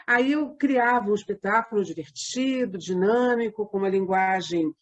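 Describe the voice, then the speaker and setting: An older woman with a softened Rio de Janeiro accent, chatting casually as an interview guest and telling anecdotes. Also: medium pitch, normal speed, muffled recording. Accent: softened Rio de Janeiro Brazilian Portuguese. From Rio de Janeiro, Brazil. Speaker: interview guest, radio drama performer and producer.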